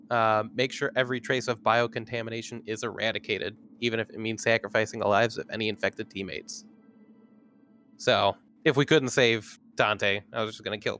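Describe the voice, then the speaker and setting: A man's voice: on the low side, sharp tone. A man who plays in a tabletop game, casually chatting and recapping it with the group.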